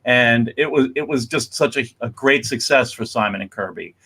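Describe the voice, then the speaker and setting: A man hosting an event with an informal introduction, speaking in a halting, rambling way. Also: mid-range voice, bright, forceful tone. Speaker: host, museum executive director.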